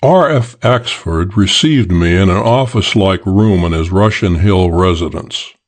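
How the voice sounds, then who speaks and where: A man's voice: sits low, a little slow. A man reading a first-person detective story as an audiobook narrator.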